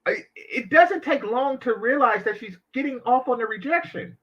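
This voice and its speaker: A man with a high, very lively voice runs a reaction commentary full of sarcastic, mocking asides. His pitch swings up and down as he rants. The audio is dull and lo-fi. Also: normal speed, slightly rough. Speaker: commentary channel host.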